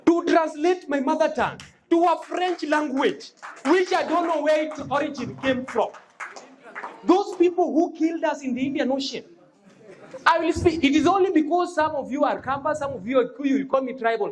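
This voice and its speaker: A student debater with a Kenyan accent gives a fiery, rhetorical debate speech, repeating slogans and shouting points. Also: high-pitched. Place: university debate hall. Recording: echoey hall with a noisy crowd.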